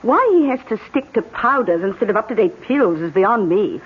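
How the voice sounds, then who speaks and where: A woman's voice: medium pitch, expressive, normal speed, natural voice. Woman playing fussy motherly british nurse, very lilting, old tinny radio play. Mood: coaxing. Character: solicitous, motherly English woman, fussing and coaxing nurse. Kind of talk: radio drama acting. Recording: tinny old radio broadcast, faint noise.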